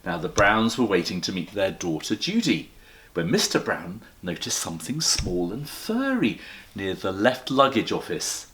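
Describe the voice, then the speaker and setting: A man's voice: low voice, very animated, moderate pace, a bit bright, slight background noise. A man, a headmaster, reading a children's story expressively and addressing the listeners directly.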